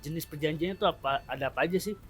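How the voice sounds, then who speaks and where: A man's voice: on the high side, rapid-fire, soft background music. A man with a slight slur on his r sounds, hosting a casual, playful podcast chat and asking questions. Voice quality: slight slurred r (cadel). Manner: playful, colloquial, teasing banter.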